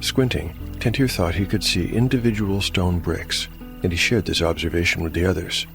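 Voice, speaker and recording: Solo game master and narrator: man, very low gravelly voice, dramatic storytelling, music underneath. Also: fairly even intonation, moderate pace. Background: prominent music.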